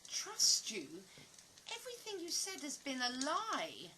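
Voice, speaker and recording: A woman's voice: high-pitched, wildly swooping pitch, measured pace, pushed and bright, a little hiss or hum, exaggerated voice. A woman playing a wary, sceptical loner in an audio drama, questioning in a dry, guarded way. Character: wary, sceptical woman living alone outside society. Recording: music under the voice. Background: music.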